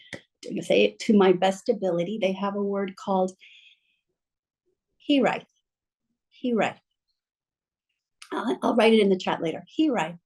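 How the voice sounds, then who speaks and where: A woman with a mellow, relaxed voice speaks slowly and gently. She guides her listeners through a calming reflection. She is heard over a video call. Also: mid-range voice. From mother from Mexico, bilingual. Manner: gentle, slow, soothing guidance. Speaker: guest speaker.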